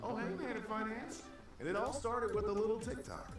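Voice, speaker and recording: A man reading an upbeat ad in a bright, sing-song delivery. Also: high-pitched, steady tone, a little fast. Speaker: ad announcer. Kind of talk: upbeat ad read. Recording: roomy, distant, with loud music.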